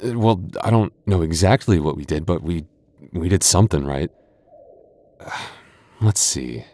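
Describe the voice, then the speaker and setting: A man's voice: low voice, expressive, a little slow, a little mellow. A man voicing a playful, teasing young goth boyfriend in an ASMR roleplay, often stammering and chuckling. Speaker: voice actor.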